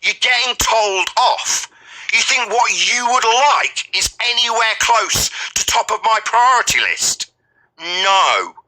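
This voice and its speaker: A man's voice: sits high, big pitch swings, slow pace, pushed and bright, rough recording. A man berating an opponent in a heated debate, with a domineering, repetitive, scolding manner. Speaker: debate host.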